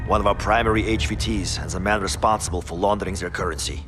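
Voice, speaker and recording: An actor playing a grave Russian military sergeant with a Russian accent, giving a measured, formal mission briefing in a video game. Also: male voice, low voice, over music, natural voice. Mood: serious, briefing. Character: grave Russian military sergeant, adult man, dutiful commander.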